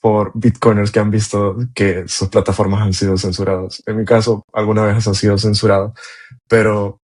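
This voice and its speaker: A man hosting a podcast, talking in a relaxed, rambling way as he introduces his guest. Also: lowish voice, moderate pace. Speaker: podcast host. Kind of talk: casual interview talk.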